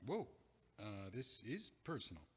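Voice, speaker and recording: A man's voice: mid-range voice, very animated, unhurried, a bit bright, slightly rough, full character voice. Hesitant, awkward young man played by an actor, echoey hall. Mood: tentative. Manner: hesitant, stammering, apologetic. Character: awkward, anxious, meek young man, heartbroken neighbour. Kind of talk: stage-style audio play dialogue. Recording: echoey hall with long reverb.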